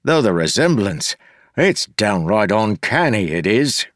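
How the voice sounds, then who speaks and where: A man voicing a crude, irreverent young male sidekick with rough slangy banter in an audiobook. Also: on the low side, big pitch swings, unhurried, a bit bright, dry recording, studio quality, voice pushed up. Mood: cheeky.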